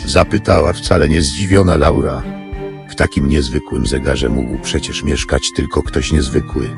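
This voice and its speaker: Very deep, gravelly male storyteller. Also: steady tone, normal speed. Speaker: narrator. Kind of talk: scripted fairy-tale storytelling for an audio drama. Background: music.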